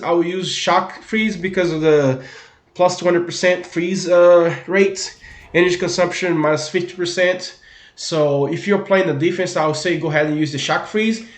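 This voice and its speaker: A man from Brazil with a Brazilian Portuguese accent, giving a relaxed, filler-heavy voice-over about a video game. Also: a little high. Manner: relaxed, many fillers and restarts. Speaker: gaming YouTuber. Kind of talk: casual game guide voice-over. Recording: echoey room.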